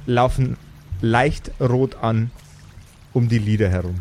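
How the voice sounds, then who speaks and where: A man's voice: medium pitch, takes it slowly, a little mellow, soft background music. Theatrical male game master with mid-range voice. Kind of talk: improvised narration of a fantasy tabletop game.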